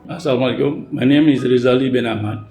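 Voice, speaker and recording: A man's voice: mid-range voice, fast talker. 60s sea captain guy, plain casual talk, echoey room. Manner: plain-spoken, non-native grammar, tag questions. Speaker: ship captain and harbour pilot. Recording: roomy sound with soft background music.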